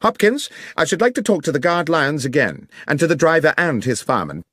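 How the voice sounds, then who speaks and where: A male narrator plays a commanding detective from a mystery audiobook. He speaks precisely and emphatically, with coolly sardonic authority, his pitch raised and swinging widely in a very dry recording. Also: sing-song, a little fast, bright, forceful tone, no room sound, full character voice. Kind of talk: dramatised audiobook dialogue.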